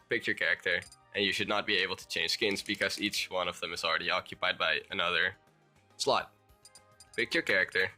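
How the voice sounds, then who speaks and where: A man's voice: sits low, bright, forceful tone. A young man with a Dutch accent, a bit sick, talking through a game tutorial in a rambling, hesitant way. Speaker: YouTuber.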